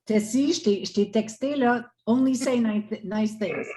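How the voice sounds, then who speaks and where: A woman's voice: fairly high pitch, unhurried, mellow. A woman with a Quebec French accent talking casually and unscripted as a mentor, hesitant and searching for her words. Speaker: mentor giving a testimonial.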